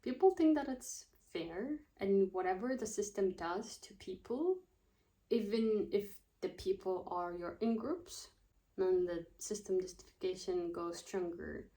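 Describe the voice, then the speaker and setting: A woman's voice: mid-range voice, a little slow, warm tone, poor audio. Young woman, casual vlog chat, rambling, thinks aloud, mid-range voice. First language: not Russian. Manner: rambling, thinking aloud, loses her train of thought. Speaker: student vlogger.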